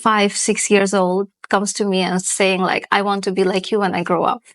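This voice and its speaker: Guest: young woman from Russia, mid pitch, dry close sound, casual talk with Bangla phrases mixed in. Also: little pitch movement, fast, no room sound. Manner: mixes English with Bangla phrases. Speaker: guest dancer. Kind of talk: casual interview.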